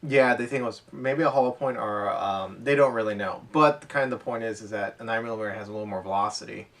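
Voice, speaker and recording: A man's voice: lowish voice, fast, fairly bright tone. Man chatting, distant from the mic. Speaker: co-host of an airsoft podcast. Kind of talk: casual unscripted chat. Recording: voice distant from the microphone.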